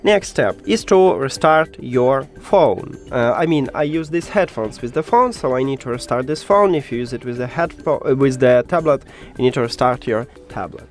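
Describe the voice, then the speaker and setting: A man's voice: mid-range voice, lively intonation, normal speed, faint music behind. A man hosting a step-by-step tech tutorial in an instructional way, with slightly non-native phrasing.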